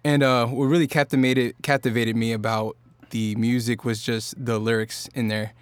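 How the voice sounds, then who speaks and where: A man's voice: medium pitch, normal speed, clean tone, no room sound. A man from Norfolk, Virginia, a singer-songwriter guest talking casually on a podcast, loose and rambling with lots of fillers. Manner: relaxed, rambling with many fillers and restarts.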